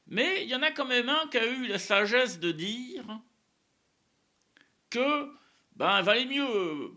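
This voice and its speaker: A man whose first language is Spanish talks about faith in a loose, rambling monologue, doubling back on words and leaning on little fillers. His voice sits fairly high and swings widely in pitch. It has a gravelly, bright edge. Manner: rambling, repeats words, frequent "hein" and "euh". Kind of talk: casual religious monologue to camera.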